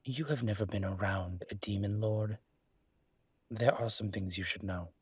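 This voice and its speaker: A man voicing a refined, wary, ancient demon professor in an intimate audio roleplay, with husky, measured, halting, wry and formal delivery. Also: on the low side, no room sound. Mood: measured, explanatory.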